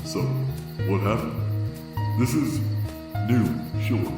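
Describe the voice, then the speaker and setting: A man's voice: mid-range voice, expressive, slow, music in the background. A man voicing an easygoing, wry skeleton older brother with a brooding side, in a fan comic dub. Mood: brooding, reflective. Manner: casual, wry. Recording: echoey, distant mic.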